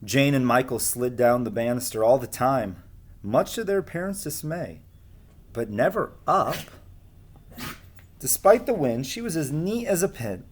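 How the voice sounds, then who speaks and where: A man reads a picture book aloud in a mid-range voice that moves up and down with great liveliness. He is expressive and speaks directly to young listeners, slipping between narration and casual asides. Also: medium pitch, a little slow, slight background noise.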